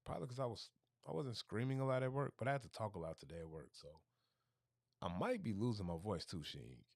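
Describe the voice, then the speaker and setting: A man hosting a casual livestream, talking through his plans in a rambling way and going hoarse. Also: on the low side, sing-song, quick pace, darkish tone, no room sound, studio quality. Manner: rambling, thinking aloud with restarts. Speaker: livestream host.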